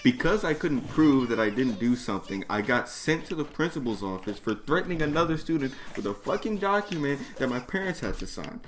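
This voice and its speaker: A man's voice: medium pitch, big pitch swings, fairly quick, hardly any pauses. Man telling a profane story, noisy recording. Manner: profane, slangy, exasperated. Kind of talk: reading own comedic story aloud to friends. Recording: noisy background, mouse or mic clicks.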